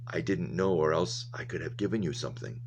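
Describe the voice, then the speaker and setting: A gentle, haunted young man from a storybook, read by a man, with a very deep voice. He speaks in a subdued, hesitant way. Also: expressive, a little fast, vocal fry, noisy background. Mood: awkward, apologetic. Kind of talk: storybook read-aloud dialogue.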